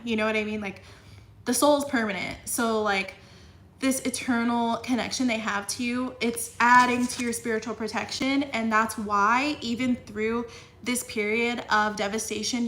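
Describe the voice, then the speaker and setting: A woman's voice: on the high side, a bit bright, roomy sound, slight background noise. A young woman giving a casual tarot reading, talking in a chatty way full of fillers and a little sniffly. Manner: chatty, filler-heavy with frequent "like" and "you know". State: slightly sniffly. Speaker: tarot reader.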